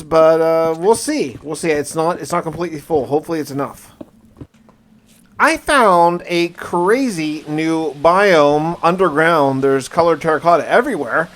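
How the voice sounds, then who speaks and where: A man's voice: on the high side, a bit bright. A man hosting a gaming video, giving casual first-person commentary with folksy exclamations and mild slang.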